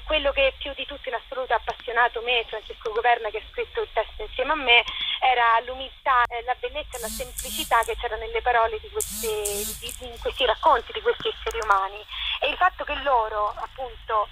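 A woman being interviewed as an actress and writer, explaining thoughtfully and haltingly as she searches for words, over a lo-fi phone-like line. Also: a little high, sharp tone. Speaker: actress and co-author interviewed about her play. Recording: muffled lo-fi phone-like line with noise.

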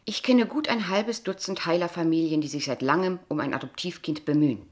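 An actress playing a disapproving kindergarten teacher in a radio play, asking pointed, reserved questions. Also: female voice, lowish voice, brisk, lightly acted. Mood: probing. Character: probing, disapproving female kindergarten teacher, unmarried. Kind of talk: radio play dialogue acting.

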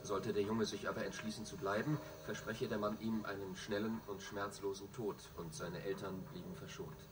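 A male actor as a sober news reporter heard from a television in a radio drama. His deep voice is formal and factual. It sounds set back from the mic, with music clearly playing. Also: flat delivery, moderate pace, recorded from a distance, natural voice. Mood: sober, grave.

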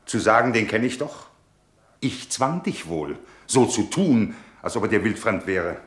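A man's voice: on the low side, sing-song, unhurried, echoey room. An actor playing a bitter, disillusioned middle-aged businessman husband in a German radio drama, with a sarcastic, theatrical way of talking. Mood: scornful, sarcastic. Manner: sarcastic, rhetorical, theatrical delivery.

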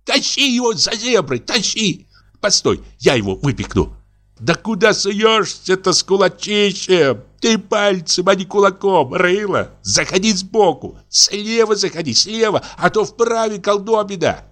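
A man voicing squabbling peasant fishermen in a shrill, high-pitched, urgent scolding voice for a story. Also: expressive, measured pace, a bit bright. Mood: bickering, urgent.

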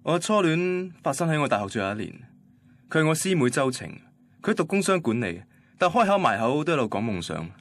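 A man's voice: on the high side, big pitch swings, fairly quick, no echo. An actor playing a heartbroken young man recounting his first love in a radio drama, wistful and self-deprecating, with a Hong Kong Cantonese accent. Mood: bitter, reflective. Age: young adult. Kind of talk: radio drama narration and dialogue.

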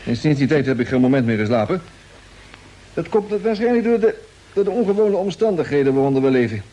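A man's voice: a little high, big pitch swings, quick pace, mellow. An actor playing a chatty, good-humoured spaceship crewman in a radio drama, informal and playful. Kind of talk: Dutch radio drama dialogue. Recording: noisy vintage radio play, cabin ambience.

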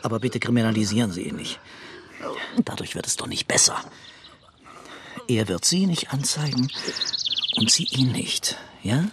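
An actor playing a remorseful, awkward police inspector, hesitant and apologetic, in a radio crime play. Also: male voice, mid-range voice, lively intonation, normal speed, pauses often, noisy background, natural voice. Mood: pleading, apologetic. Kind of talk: German radio play acting. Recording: loud outdoor birdsong behind.

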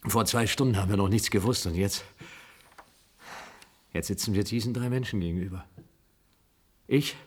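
An actor playing an astonished, shaken man in a German radio play, husky and halting. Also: lowish voice. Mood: stunned.

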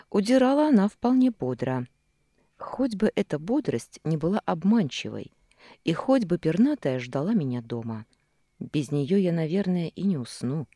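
Woman reading a story aloud, low mellow voice, swoops up and down a lot, dry close sound. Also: dry recording. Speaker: audiobook narrator. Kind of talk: first-person fiction narration from a male hero's view.

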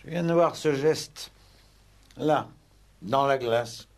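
An actor playing a calm, probing police inspector in a radio play, measured and deliberate as he questions. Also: medium pitch, creaky. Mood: stern, pressing. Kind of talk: 1960s French radio play acting.

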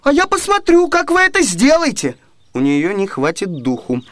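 A man's voice: sits high, huge pitch swings, moderate pace, fairly bright tone, dry recording, heavily acted. An actor playing a bitter, jealous young man in a classic comedy radio play, with theatrical, declamatory delivery. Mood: bitter, furious. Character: jealous young male lover, bitter and wounded.

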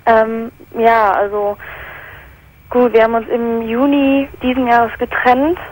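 A woman's voice: a little high, level tone, slow pace, rough recording. A young woman in her teens from England, calling in and telling a personal story hesitantly, searching for words. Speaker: caller. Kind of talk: hesitant personal account on a call-in show.

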